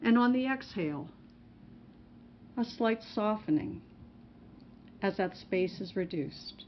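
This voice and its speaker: A woman leads a guided meditation at a very unhurried pace, with a medium-pitched voice. She gives instructions in short, measured phrases with pauses between them. The recording is slightly dull. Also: animated. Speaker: mindfulness meditation teacher. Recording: muffled recording with faint background noise.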